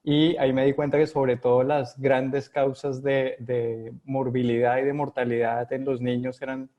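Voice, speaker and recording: A man's voice: medium pitch, little pitch movement, moderate pace, lo-fi sound. A middle-aged man with a Colombian accent, answering interview questions from home with many hesitations and restarts. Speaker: guest epidemiologist.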